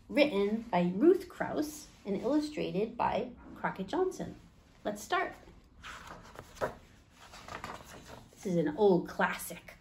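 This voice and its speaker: Slow-paced woman reading to young children. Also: medium pitch, darkish tone, slight background noise. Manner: slow, simple phrasing with questions addressed to young listeners. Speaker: storytime host. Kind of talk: children's picture book read-aloud. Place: small indoor room.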